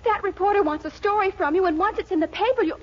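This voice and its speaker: A woman's voice: extremely high pitch, quick pace. An actress playing an exasperated, scheming young woman with urgent, emphatic delivery in 1940s radio comedy. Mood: dismayed. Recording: muffled 1940s radio recording.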